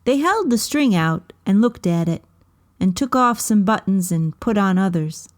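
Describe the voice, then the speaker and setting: A woman narrates a storybook at an unhurried pace. Her voice sits at a middling pitch, and she sets out each phrase deliberately, pausing often between them. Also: expressive. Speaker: volunteer coordinator reading a story aloud. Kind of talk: storybook reading, scripted narration.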